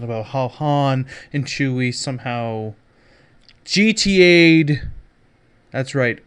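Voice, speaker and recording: A man's voice: mid-range voice, smooth. A man hosting a casual pop culture news podcast, emphatic, sarcastic and given to swearing. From Canada. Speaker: podcast host.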